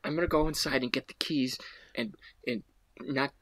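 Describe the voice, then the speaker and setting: A man playing an awkward, impatient teenage boy, halting and repeating himself, in improvised comedy. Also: mid-range voice, fairly even intonation, a bit bright, no echo, rough recording. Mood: reluctant.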